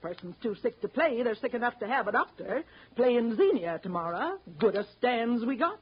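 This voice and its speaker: An actress playing a sharp-tongued, suspicious middle-aged mother aboard a showboat in a 1930s radio drama, scolding and bossy in a folksy American way. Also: a little high, sing-song, normal speed, breathy edge, exaggerated voice. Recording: muffled, noisy 1930s radio broadcast recording.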